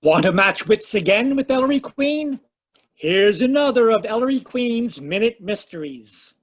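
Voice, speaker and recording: A man's voice: sits high, very animated, a little slow. A man announcing a vintage radio show in an emphatic, declamatory 1940s style. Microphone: home microphone on a video call.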